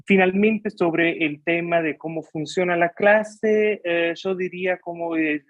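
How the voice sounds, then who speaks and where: A man's voice: sits high, steady tone, measured pace, dry recording, highs cut off. Hesitant non-native man lecturing over a call. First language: Italian. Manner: hesitant, many fillers and restarts. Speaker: guest lecturer. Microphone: video call.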